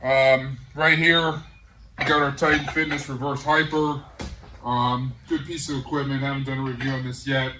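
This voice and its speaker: A middle-aged man casually showing off his garage gym, talking in a relaxed, rambling way with many pauses. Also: mid-range voice, distant mic, background noise. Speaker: home gym owner giving a tour. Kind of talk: casual walk-through tour. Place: large garage home gym.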